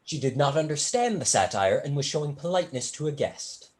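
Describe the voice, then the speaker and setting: Witty young male tutor, played on stage, dry, patient, articulate, lively melodic range, period play. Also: mid-range voice, a little fast, close to an everyday voice. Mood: dry, aloof.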